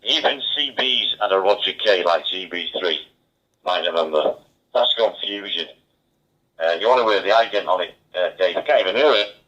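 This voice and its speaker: A man's voice: low-pitched, lively intonation, moderate pace, sharp tone. A man chatting casually as an amateur radio operator, heard over a two-way digital radio. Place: mobile radio station. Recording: dull, band-limited two-way radio transmission.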